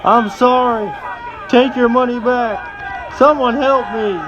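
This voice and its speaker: A man voicing an injured card cheater who screams for help in a high, desperate voice. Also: fairly even intonation, takes it slowly, lo-fi sound, a loud crowd, very noisy. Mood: panicked.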